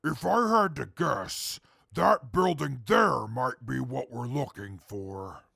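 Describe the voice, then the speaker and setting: A man playing a level-headed, deadpan mercenary in a post-apocalyptic audio drama. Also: medium pitch, slow pace, creaky, no echo, studio quality, heavily acted. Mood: dry, measured.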